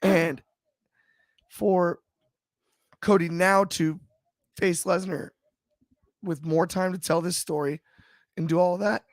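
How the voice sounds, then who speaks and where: A man with a slightly raspy voice, chatting about wrestling on a panel show in a thoughtful, halting way with many restarts. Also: sits high, steady tone, dry recording. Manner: thoughtful, frequent restarts and fillers. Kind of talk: casual discussion.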